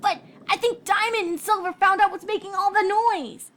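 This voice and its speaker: Woman voicing a high, feisty young pegasus filly. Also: fairly even intonation, moderate pace, a bit bright. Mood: alarmed. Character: feisty young female pegasus pony, indignant, tomboyish.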